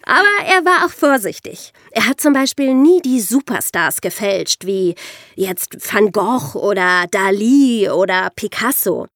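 A woman's voice: mid-range voice, expressive, unhurried, a bit bright, no room sound, clean studio sound. A woman hosting a podcast, explaining things in a storytelling way with rhetorical questions. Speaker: podcast host.

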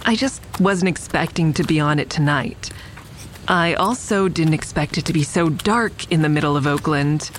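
A woman's voice: deep voice, moderate pace, slight background noise, natural voice. A woman playing a wary survivor who narrates in the first person in an audio drama, introspective in manner. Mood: wary, reflective. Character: wary shooting survivor narrating a nighttime adventure.

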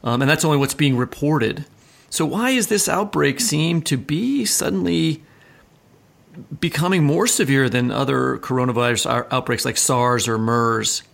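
A man with a mid-pitched voice full of creak interviews a guest on a podcast. His delivery is informal and questioning, like everyday conversation. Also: moderate pace, a bit bright.

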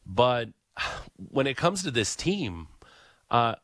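A man's voice: medium pitch, sing-song, slow pace, fairly bright tone, no echo, clean studio sound. A man co-hosting a sports talk radio show, giving wry, opinionated takes and thinking aloud as he goes.

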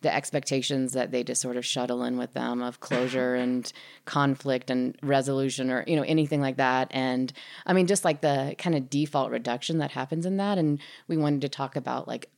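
Very deep-voiced woman, analytical guest. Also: fairly even intonation, a little fast, creaky, darkish tone. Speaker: filmmaker guest. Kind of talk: analytical conversational interview answers.

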